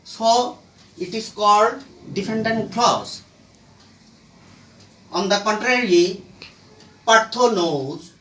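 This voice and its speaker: Very slow, echoey grammar teacher. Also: medium pitch, lively intonation, a bit bright, slight background noise. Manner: halting, short phrases with pauses. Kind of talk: slow explanatory grammar lesson. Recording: roomy echo, distant microphone.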